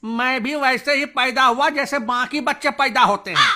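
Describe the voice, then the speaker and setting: A man's voice: extremely high pitch, fairly even intonation, moderate pace, pushed and bright, slight background noise, heavily acted. A man voicing an exasperated, scolding male schoolteacher in a comedy cartoon. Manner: stern, scolding. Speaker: teacher. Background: music.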